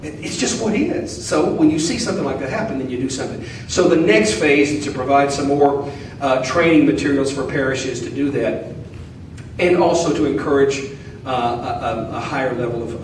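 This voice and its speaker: A man's voice: mid-range voice, moderate pace. Southern man in an echoey hall. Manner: folksy, joking, earnest. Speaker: Orthodox priest, guest speaker. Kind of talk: casual Q&A talk. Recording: echoey hall, mic at a distance, faint noise.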